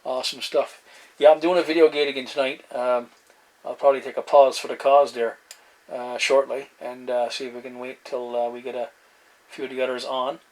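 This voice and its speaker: A man's voice: medium pitch, level tone. Man chatting casually over noisy radio hiss. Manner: halting, laid-back, uses radio jargon. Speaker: amateur CB radio hobbyist. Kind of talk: casual radio chat and demo narration. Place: indoor desk beside CB radio sets. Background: radio static and room noise.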